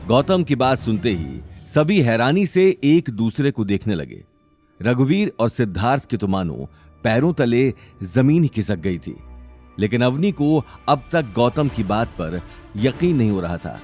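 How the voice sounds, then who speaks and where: A man's voice: medium pitch, sing-song, measured pace, darkish tone, soft background music. A man narrating a scripted audio story in a dramatic style.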